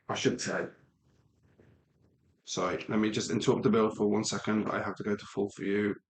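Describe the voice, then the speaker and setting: A middle-aged man with a Midlands English accent rambles along casually at his home desk, often breaking off mid-thought. His voice sits fairly low, and the room gives it a noticeable echo. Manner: rambling, self-interrupting, chatty asides to viewers. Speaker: live-stream Lego builder. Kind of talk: casual live-stream chat while building.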